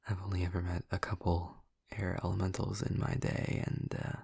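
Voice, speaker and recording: Hushed, gravelly young male vampire played by a man. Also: deep voice, monotone, normal speed, no room sound, full character voice. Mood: curious, attentive. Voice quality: breathy, husky, gravelly murmur. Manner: hesitant, rambling, casual. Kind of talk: intimate audio roleplay addressed to the listener.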